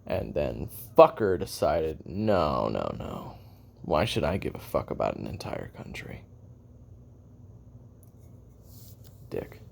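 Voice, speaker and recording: A bitter, world-weary male assassin, played by a man in an audio drama confession. His low voice is full of gravel and swings widely as he broods and swears between heavy pauses. There is faint noise behind him. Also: sing-song, darkish tone, exaggerated voice. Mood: bitter, resentful, then mocking, exasperated. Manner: brooding, profane, halting delivery with heavy pauses and sighs.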